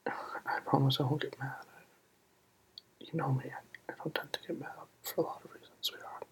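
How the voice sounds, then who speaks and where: A roleplay performer in a soft, soothing ASMR whisper, playing a comforting partner and repeating gentle reassurances. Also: medium pitch, level tone, a little fast. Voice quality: breathy whisper.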